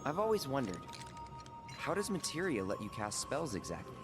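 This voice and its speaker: An actor playing an eager, naive young soldier in a video game, casual, curious and openly expressive. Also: male voice, mid-range voice, normal speed, lightly acted. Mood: curious, awed. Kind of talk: dramatic game cutscene acting. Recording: loud music behind the voice. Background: loud music.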